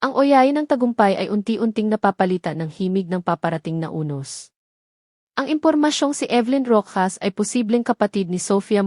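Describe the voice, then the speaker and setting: A Filipino woman narrates a scripted audio drama. Her voice sits at a middle pitch for a woman. The sound is dry, with hardly any room on it. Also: darkish tone, dry recording.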